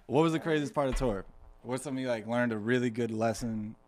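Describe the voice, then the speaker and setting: A radio show host asking relaxed, slangy questions in a casual chat, often laughing along. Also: medium pitch, quick pace.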